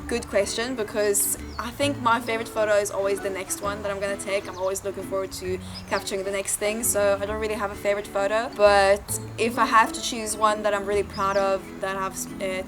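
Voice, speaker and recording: A woman's voice: mid-range voice, flat delivery, fairly quick, music in the background. A young woman from Sweden, reading questions aloud and answering them casually, often laughing and thinking aloud when unsure. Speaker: interview guest.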